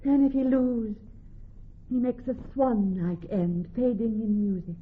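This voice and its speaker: Noble lovestruck heiress, muffled radio sound. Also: female voice, on the high side, measured pace, husky. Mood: anxious, tender. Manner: formal, theatrical verse delivery. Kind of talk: classical Shakespearean radio acting. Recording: muffled, band-limited old radio recording.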